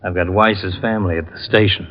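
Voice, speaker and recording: An American actor playing an earnest, worried homicide lieutenant in an old-time radio drama. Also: male voice, sits low, fairly even intonation, fairly quick, close to an everyday voice. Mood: grave, businesslike. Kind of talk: 1940s-50s radio drama acting. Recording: dull, echoing old radio recording.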